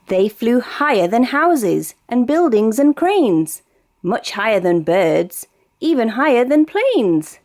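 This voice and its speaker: Female British storyteller for a rhyming picture book; expressive, sing-song delivery with a wide pitch range. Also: slow pace. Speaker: storybook reader. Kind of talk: read-aloud of a rhyming children's picture book.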